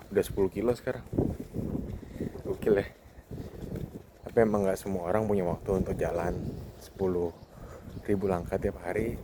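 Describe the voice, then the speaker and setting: A man of thirty, talking casually and reflectively to himself as a podcast host on a walk outdoors. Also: on the low side. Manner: informal, reflective, self-talking. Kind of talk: casual walking monologue, vlog. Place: outdoors on a campus football field. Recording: noisy outdoor ambience. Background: clear outdoor background noise.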